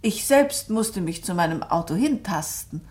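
A composed woman writer voiced by an actress in a radio play, with a mid-pitched voice. She speaks articulately and directly, observant and sceptical, with a dry, matter-of-fact manner. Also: lively intonation, a little slow, darkish tone, natural voice. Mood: reasoning, defending. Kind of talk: German radio drama dialogue.

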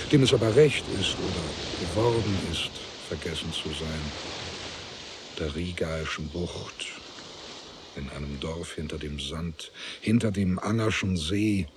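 An actor as a contemplative storyteller, narrating a literary radio drama in deliberate short phrases. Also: male voice, deep voice, background noise. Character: measured, contemplative male storyteller of a literary tale.

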